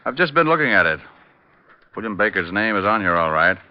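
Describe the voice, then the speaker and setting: An actor playing a wry, flirtatious American private detective in the breezy style of 1940s radio drama. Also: male voice, fairly low pitch, very animated, brisk. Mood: businesslike. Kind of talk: 1940s radio detective acting, with first-person narration. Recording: dull, noisy vintage radio recording.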